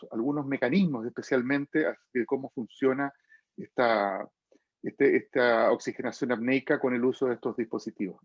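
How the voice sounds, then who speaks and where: A man's voice: medium pitch, dry recording, muffled recording. A man hosting a medical webinar, introducing a guest speaker with many hesitations and restarts, over a video call. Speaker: webinar host, anesthesiology program.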